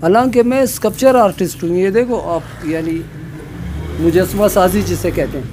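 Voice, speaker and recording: Earnest high-voiced man in his fifties. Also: normal speed, darkish tone. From Pakistan. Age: 50s. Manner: earnest, complaining, repeats filler words. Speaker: artist interviewee. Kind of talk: casual interview. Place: cluttered workshop, indoors. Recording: noisy background.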